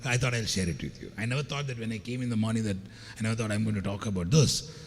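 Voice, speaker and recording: A man's voice: lowish voice, quick pace. Scratchy-voiced man in a reverberant hall. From India. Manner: chatty, anecdotal and joking, addressing the audience directly. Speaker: keynote speaker. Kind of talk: motivational keynote speech. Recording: long hall reverb with faint background noise.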